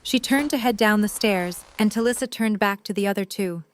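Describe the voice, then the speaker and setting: Smooth, clear woman narrator. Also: medium pitch, moderate pace. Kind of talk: scripted story narration.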